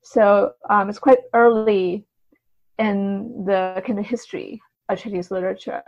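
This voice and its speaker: A woman's voice: mid-range voice, fairly even intonation, a little slow. Woman guest, slightly dull lo-fi sound. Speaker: podcast guest, writer and translator. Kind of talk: conversational interview answers.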